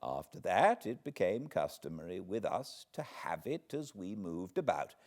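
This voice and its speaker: A man, reading a classic novel aloud in measured, expressive storytelling. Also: sits low. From Britain. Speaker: audiobook narrator. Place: cozy home spot beside a fireplace.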